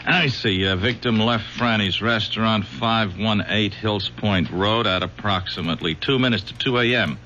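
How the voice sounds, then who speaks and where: An earnest, college-educated young detective, doggedly suspicious and a little halting. His voice is lowish and bright. It comes through a dull, lo-fi old radio broadcast. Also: slight background noise. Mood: matter-of-fact. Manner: doggedly suspicious, hesitant with fillers. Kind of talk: 1970s radio drama acting.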